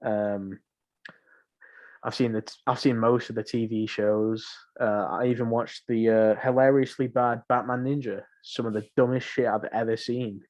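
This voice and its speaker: A man talks in a relaxed conversational format, as on a podcast. His pitch is average, and his delivery is informal and opinionated. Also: moderate pace, muffled recording. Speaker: podcast host. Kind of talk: casual film podcast chat.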